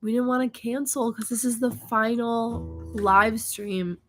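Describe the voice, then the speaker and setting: A woman co-hosting a casual live stream, hoarse and losing her voice, chatty and laughing often. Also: on the high side, fairly even intonation, measured pace, fairly bright tone, faint music behind.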